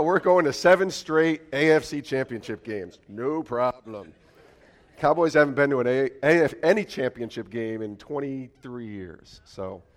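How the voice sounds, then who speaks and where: A man in his forties preaches to a church congregation, his low voice raspy and rough from a lingering cold. He talks in a chatty, joking way, teasing the crowd before settling into his message. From Albany, New York. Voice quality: raspy, deeper than usual. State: hoarse from a cold. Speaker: guest preacher. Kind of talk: church sermon. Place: church sanctuary.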